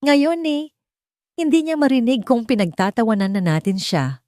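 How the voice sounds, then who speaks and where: A woman's voice: fairly high pitch, very animated, normal speed, dark tone, dry recording, clean studio sound, pitched above the performer's own voice. Smug, oily businessman rival, played by a woman. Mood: smug mockery. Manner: oily, mocking. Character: smug, treacherous male business rival, falsely sympathetic.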